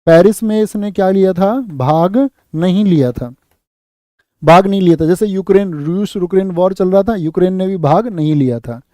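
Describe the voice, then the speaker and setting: A man's voice: high voice, moderate pace, dark tone, no room sound, clean studio sound. A man teaching current affairs for a competitive exam, asking questions and answering them himself, repeating each key fact and calling listeners "saathi". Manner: calls listeners "saathi" and "bhaiya". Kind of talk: quiz-style current affairs lesson.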